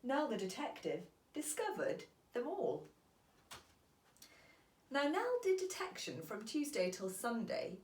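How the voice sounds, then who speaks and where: A woman reading a children's storybook aloud as a teacher, in an expressive, deliberate storytelling manner. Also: on the high side, roomy sound.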